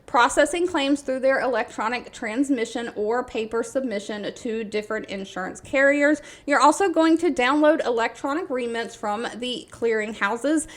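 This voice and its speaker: A woman's voice: fairly high pitch, normal speed, few pauses. A woman hosting an informational video, explaining details plainly in a semi-scripted narration. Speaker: video host sharing job listings.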